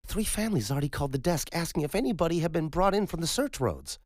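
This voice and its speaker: A man voicing a tired young woman medic, speaking in a raised, quick and clipped way. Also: fairly high pitch, sing-song, a little mellow, no room sound, studio quality, voice pushed up. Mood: tense.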